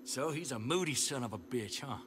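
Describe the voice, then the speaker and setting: Actor as bitter American male survivor, cynical, rough talker, lively swings in pitch, game scene, noticeable background ambience. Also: brisk, background noise, natural voice. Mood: resentful. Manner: blunt, cynical, indignant. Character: bitter, hot-tempered, vengeful rough-talking male survivor. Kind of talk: dramatic video game voice acting.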